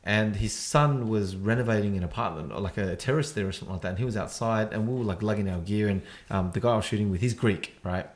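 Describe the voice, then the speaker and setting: A man's voice: on the low side, fast talker, few pauses. A man with a Western Sydney Australian accent co-hosting a podcast, telling a rambling anecdote full of fillers and swearing. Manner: rough-edged, animated storytelling. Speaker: podcast co-host. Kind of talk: casual chat among friends.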